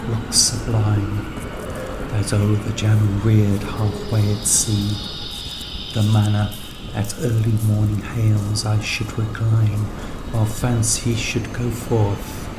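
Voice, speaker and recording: A man reading his own literary piece aloud as a solemn, measured dramatic recitation. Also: on the low side, monotone, very noisy.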